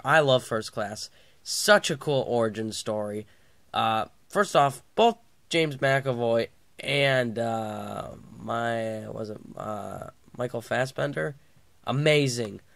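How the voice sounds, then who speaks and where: A man's voice: medium pitch, a bit bright, no echo. Guy with a stuffed-up nose and scratchy throat doing a chatty movie review, lots of uhs, dry close sound. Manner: rambling, lots of uh and restarts. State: congested and sore-throated. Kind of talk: casual movie review vlog.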